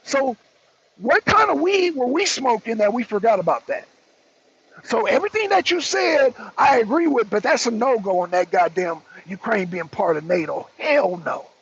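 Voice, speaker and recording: Man, high husky voice, political host, blunt and emphatic, repetitive phrasing, dull lo-fi audio. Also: expressive, moderate pace, a bit bright. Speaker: political talk show host. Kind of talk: opinionated political commentary.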